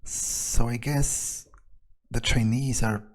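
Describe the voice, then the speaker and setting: A man with a slightly husky voice, narrating a game playthrough in character as a wary vampire, muttering his thoughts hesitantly. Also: medium pitch, fairly even intonation, slow pace. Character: vampire agent, profane and wary, thinking aloud. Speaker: let's player speaking in character.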